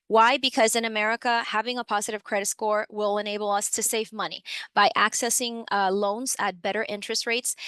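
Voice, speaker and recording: A woman's voice: medium pitch, fairly bright tone, no room sound. A woman from the Dominican Republic presenting an educational webinar in a friendly, upbeat way, with frequent fillers, on a computer microphone. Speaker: webinar presenter, program supervisor.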